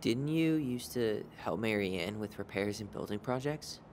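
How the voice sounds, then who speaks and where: A young man with a low voice played by a male game actor, guarded and sardonic. His lines come out dry and clipped over clearly audible ambient noise. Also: lively intonation, normal speed, natural voice. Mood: reserved. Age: young adult. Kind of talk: naturalistic video game acting.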